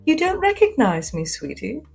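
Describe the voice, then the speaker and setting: A woman's voice: high-pitched, huge pitch swings, moderate pace, vocal fry, husky, soft background music, pitched above the performer's own voice, exaggerated voice. A woman playing an arch, teasing, imperious socialite spy in a science fiction audio story. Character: flirtatious, imperious socialite woman spy, sly and self-assured. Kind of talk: first-person sci-fi spy audio fiction.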